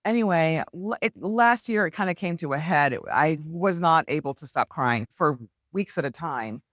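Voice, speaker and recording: A woman speaking as a podcast guest, telling her story in an earnest, heartfelt and animated way. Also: sits low, moderate pace, no room sound, clean studio sound. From United States. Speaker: podcast guest, hypnosis healer. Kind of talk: candid conversational storytelling.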